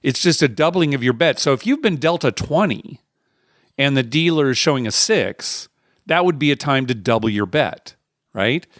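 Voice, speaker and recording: A man's voice: mid-range voice, expressive, normal speed, a bit bright, no echo, clean studio sound. A man hosting a podcast, talking casually and conversationally, with an explanatory manner and short asides. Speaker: podcast host.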